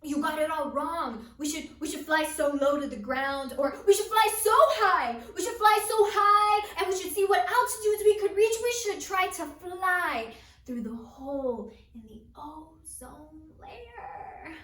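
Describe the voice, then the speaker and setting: A young woman voicing an excitable, argumentative blackbird with reckless ideas, urgent and emphatic, in a dramatic storytelling performance. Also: sits high, unhurried. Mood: excited, defiant. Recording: roomy, echoing, distant microphone.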